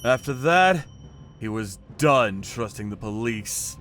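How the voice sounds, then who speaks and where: A man giving dramatic first-person narration as a calm, righteous, menacing vigilante in an animated manga story. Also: on the low side, slow pace, soft background music. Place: studio voice-over with music behind.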